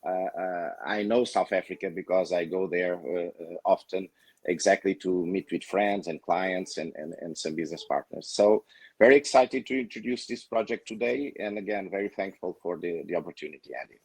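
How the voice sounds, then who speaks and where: A man's voice: sits low, normal speed, breathy edge, no room sound. A man from Portugal with a Portuguese accent, a company founder introducing himself hesitantly on a webinar panel. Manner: hesitant, many fillers.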